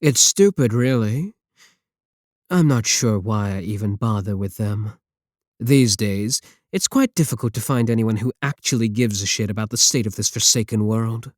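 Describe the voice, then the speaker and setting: A taunting villain scientist, voiced by a man speaks close to the mic in a low, sarcastic tone. He sounds arrogant and condescending. The recording is dry, with no room sound. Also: normal speed, dry recording, close to an everyday voice. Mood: mocking. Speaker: voice actor in audio roleplay. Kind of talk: ASMR roleplay audio.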